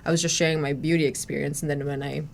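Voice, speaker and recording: A woman's voice: low voice, flat delivery, fast, creaky, a little hiss or hum. A woman in her thirties from Croatia, speaking English, chatting casually as a podcast guest with lots of fillers and restarts. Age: 30s. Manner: chatty, frequent restarts and "you know" fillers. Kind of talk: casual conversational storytelling.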